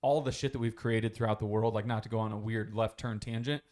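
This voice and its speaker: A man's voice: on the low side, steady tone, quick pace, a little mellow. A man co-hosting a casual podcast chat, telling a long story in a rambling way, swearing freely. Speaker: podcast co-host.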